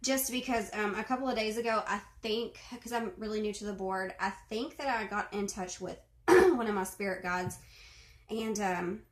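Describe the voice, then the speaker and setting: A woman's voice: medium pitch, normal speed. Chatty young woman in a roomy room. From Arkansas. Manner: chatty, many fillers, clears throat. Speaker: video host responding to a reading. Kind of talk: casual chat. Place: bedroom at home. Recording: roomy echo.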